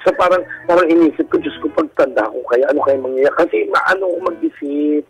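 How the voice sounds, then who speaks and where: A Filipino man with a campy, animated way of talking, telling his personal story casually as a guest on a radio call-in show. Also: a little high, fast talker, background noise. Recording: radio call-in over background music. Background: music.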